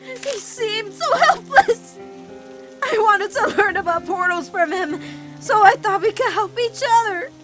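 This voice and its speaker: A woman voicing an anguished, devoted teenage girl in an emotional cartoon fan dub, with dramatic, halting, pleading delivery. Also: very high voice, lively intonation, a bit bright, soft background music, full character voice, poor audio. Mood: anguished confession. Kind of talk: emotional animated-series fan comic dub.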